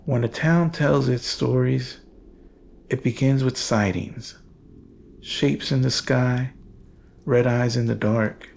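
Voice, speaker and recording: A man delivering scripted horror narration as the storyteller, grave, deliberate and ominous. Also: medium pitch, steady tone, creaky, darkish tone, lo-fi sound, a little hiss or hum. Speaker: narrator and archivist host.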